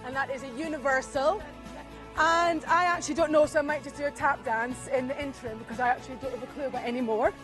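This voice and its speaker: A woman's voice: high voice, fairly even intonation, fast, music in the background. Female contestant, 30s, jokey self-intro.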